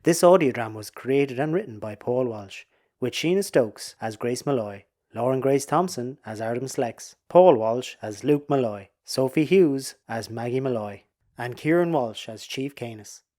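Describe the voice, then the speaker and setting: A man with a middle-pitched voice serves as credits announcer. He reads scripted lines that close out an audio drama. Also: expressive, moderate pace, darkish tone.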